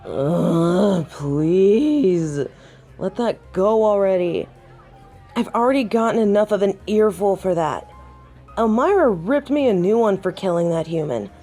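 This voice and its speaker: A woman performs a proud she-wolf of an audio drama, second in her pack. Her voice is lowish and mellow, drawn out slowly. She is tipsy, prickly and drily sarcastic, with faint music behind her. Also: expressive. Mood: exasperated. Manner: dry, sarcastic, drawling. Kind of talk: audio roleplay drama acting.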